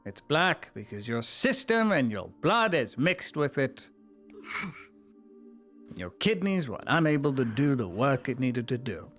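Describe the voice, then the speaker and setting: A man playing a cold, zealous imperial enforcer in a live roleplay, scornful and commanding, dropping to whispers and rising to shouts. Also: medium pitch, sing-song, unhurried, soft background music. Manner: swings between soft whispers and sudden shouts. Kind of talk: live roleplay in a virtual world.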